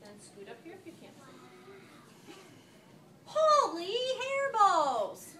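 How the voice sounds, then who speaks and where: Female primary teacher reading aloud interactively, very animated and questioning, roomy sound with clear background noise. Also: high-pitched, a little slow. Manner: expressive, questioning, prompting listeners. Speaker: elementary teacher. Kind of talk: interactive story read-aloud to children. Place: classroom with children. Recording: echoey, noisy room, distant microphone.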